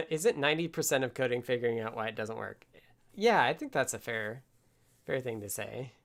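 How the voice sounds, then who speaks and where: Man thinking aloud, creaky voice. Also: medium pitch, lively intonation. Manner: halting, muttering to himself while working. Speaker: livestream host, programmer. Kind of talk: casual live coding stream, thinking aloud. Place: indoors at a desk with computer.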